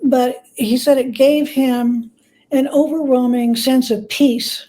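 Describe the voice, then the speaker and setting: Female interview guest, higher register, relaxed timbre, conversational storyteller who voices quoted dialogue. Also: takes it slowly. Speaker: guest biographer.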